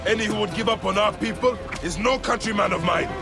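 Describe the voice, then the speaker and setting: A man's voice: high-pitched, fast talker, music in the background. A man playing a stern, loyal Egyptian warrior in a video game, curt, proud and earnest. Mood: urgent, irritated. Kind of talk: video game action acting.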